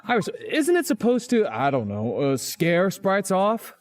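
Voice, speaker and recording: Wry schoolboy, lively intonation. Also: male voice, high voice, a little slow, soft background music, exaggerated voice. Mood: annoyed. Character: wry, grumbling schoolboy narrating his own haunted life. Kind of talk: visual novel narration and dialogue.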